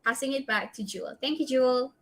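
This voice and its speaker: A woman with a Malaysian accent coaches an audience on public speaking, her voice sitting a little high. She gives direct, practical advice and keeps checking in with tag questions like "right?" and "okay?". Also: fast talker, a bit bright. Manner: tags sentences with "right?" and "okay?". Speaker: guest speaker. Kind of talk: public speaking workshop talk.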